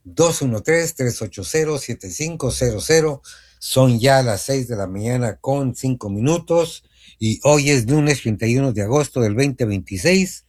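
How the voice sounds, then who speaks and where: A man hosting a morning radio show in a studio, chatty, spelling out phone numbers slowly. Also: mid-range voice, expressive, a little mellow. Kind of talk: morning radio talk and sponsor reads.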